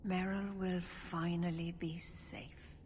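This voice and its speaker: An actress playing an older elven clan leader, solemn and measured, in a video game cutscene. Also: female voice, on the low side, flat delivery, a little mellow. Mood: grave resolve. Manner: grave, measured. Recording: long hall reverb, faint noise.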